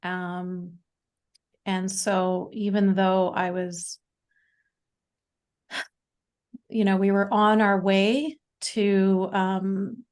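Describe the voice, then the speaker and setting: A Canadian woman in her forties, a guest speaking in a casual interview, talking haltingly with many fillers and restarts. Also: medium pitch, little pitch movement, a little mellow, clear, resonant tone. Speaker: podcast guest.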